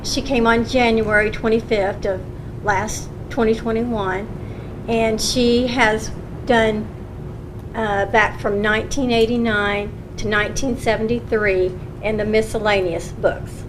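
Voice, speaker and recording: A woman's voice: on the high side, unhurried. A woman, a county recorder, earnestly explaining her office's work to a county council in a meeting room. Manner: earnest, explaining patiently with restarts. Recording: public meeting recording with steady hum.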